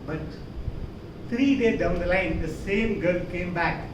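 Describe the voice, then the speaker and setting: A man with an Indian accent giving a theatrical storytelling speech, emphatic and dramatic, with deliberate pauses. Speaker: contest speaker. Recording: roomy echo, distant mic, clear rumbling noise.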